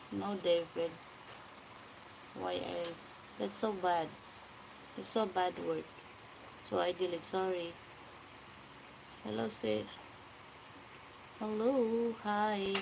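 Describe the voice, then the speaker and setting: A woman's voice: lowish voice, fairly even intonation, gravelly. A Filipino woman in her forties hosting a casual livestream. She reads out viewer comments and greets people by name, talking into a phone. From Philippines. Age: 40s. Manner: greets viewers by name, slow and chatty, mixes in Tagalog. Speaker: livestream vlogger. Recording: noisy room ambience.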